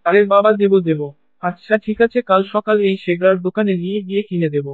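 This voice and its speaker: A man's voice: sits high, fairly even intonation, quick pace, no echo, phone-quality sound, lightly acted. A man voicing a struggling, stubborn village fish seller in a cartoon, with a plaintive, complaining manner. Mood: placating. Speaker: cartoon voice actor. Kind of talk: Bengali cartoon story acting.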